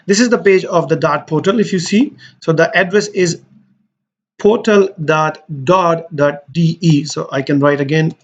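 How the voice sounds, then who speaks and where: A man's voice: a little high, lo-fi sound. A man hosting a screen-share walkthrough, explaining in a loose, conversational way with frequent fillers and restarts. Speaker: host giving application guidance.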